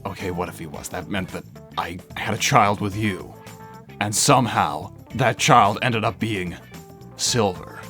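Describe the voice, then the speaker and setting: Man voicing a gruff, deadpan cartoon hedgehog. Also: on the low side, measured pace. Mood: deadpan, reluctant. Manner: deadpan, formal phrasing. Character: gruff, aloof male hedgehog, grudging and dry. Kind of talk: scripted cartoon fan dub. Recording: background music under voice.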